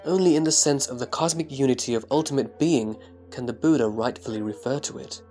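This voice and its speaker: A man's voice: medium pitch, a little fast, darkish tone, close to an everyday voice. A man playing a reverent, thoughtful disciple, explaining carefully and deferentially in a scripture audio drama. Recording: music behind the voice.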